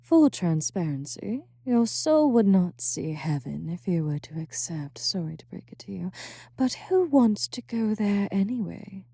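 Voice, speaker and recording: Woman doing a slow smoky succubus, dark and breathy, all teasing whispers right up on the mic. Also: medium pitch, big pitch swings, no echo, studio quality, full character voice. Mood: sultry.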